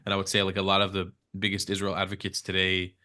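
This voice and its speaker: Young man, Hebrew first language, lowish voice, loose rambling guest chatter. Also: completely flat delivery, fast talker, pushed and bright. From United States. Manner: rambling, many fillers and restarts. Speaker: podcast guest. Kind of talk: casual conversational interview. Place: Israel.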